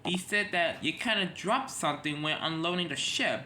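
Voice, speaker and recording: A man voicing an excitable male fire engine who talks high and urgently. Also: moderate pace, slight background noise. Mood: puzzled.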